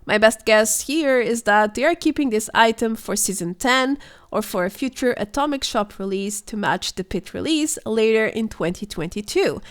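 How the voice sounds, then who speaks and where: A young woman narrates gaming news over her footage. Her voice sits a little high but sounds hoarse and worn, as if she is unwell. She speaks in a measured way, explaining and speculating as she goes. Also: lively intonation. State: hoarse, voice weakened by illness. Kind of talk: scripted voice-over commentary.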